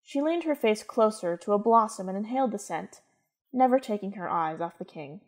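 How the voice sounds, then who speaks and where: Female narrator, mid-pitched, for scripted dramatic storytelling. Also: expressive, normal speed, darkish tone.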